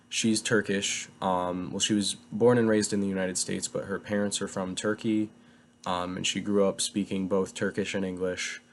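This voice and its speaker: Low, flat-voiced young man reviewing books. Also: a little hiss or hum. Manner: hesitant, rambling, many filler words. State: getting over a cold. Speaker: book reviewer. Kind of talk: casual book review.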